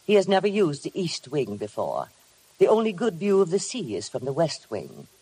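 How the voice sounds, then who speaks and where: An actress playing a cold, formal middle-aged housekeeper in a 1950s radio drama, measured and stiffly deferential. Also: female voice, low voice, wildly swooping pitch, mellow, husky, exaggerated voice, rough recording. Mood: formal, cool.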